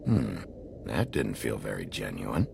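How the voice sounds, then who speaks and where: An actor playing a gruff, weary, hot-tempered sheriff in a video game, terse and dry, with a gravelly voice. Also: male voice, rumbling low, big pitch swings, a little slow, noisy background, exaggerated voice. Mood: dry, guarded. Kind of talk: video game cutscene acting.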